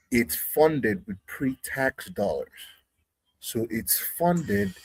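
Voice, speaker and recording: A man's voice: medium pitch, gravelly, husky, no room sound, low-quality recording. A man from New York in a casual group discussion, rambling, correcting himself and arguing his point. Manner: rambling, self-correcting, argumentative.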